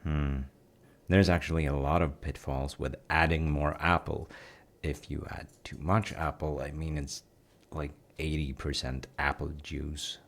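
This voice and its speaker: A man playing a cozy, playful, reassuring dad in an intimate audio roleplay, talking softly and conversationally to the listener with gentle teasing. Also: rumbling low, creaky, exaggerated voice. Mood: musing, playful. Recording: noticeable kitchen noise of sizzling and stirring. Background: frying sizzle and kitchen sounds.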